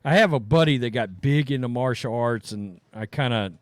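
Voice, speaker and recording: A middle-aged man co-hosting a casual chat show, rambling and trailing off quietly at the ends of thoughts. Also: medium pitch, moderate pace, no echo. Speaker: podcast co-host.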